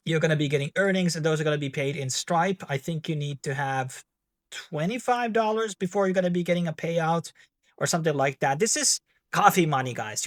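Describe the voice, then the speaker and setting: A man with a Finnish accent, speaking English as a second language in a rambling, casual tutorial with many fillers and restarts. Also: on the high side. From Finland. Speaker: tech video host.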